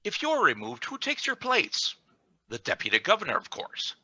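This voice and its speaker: Scheming accuser male performer, radio play. Very forceful, swooping delivery, insinuating. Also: high-pitched, huge pitch swings, normal speed, dry recording, full character voice. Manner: insinuating, pointed, theatrical. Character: scheming, accusatory security chief. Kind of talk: scripted radio play reading.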